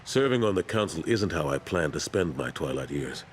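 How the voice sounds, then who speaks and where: An older man acting a dutiful military statesman in a video game, measured, earnest and resigned. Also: rumbling low, animated, slight background noise. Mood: weary, earnest.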